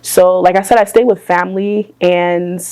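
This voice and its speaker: A young woman with a slightly low voice answers interview questions. She speaks softly and pauses often with ums and small laughs. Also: fairly even intonation, clear, resonant tone. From born in Ghana, raised in the US. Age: young adult. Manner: soft-spoken, many ums and little laughs. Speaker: guest. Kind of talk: casual interview.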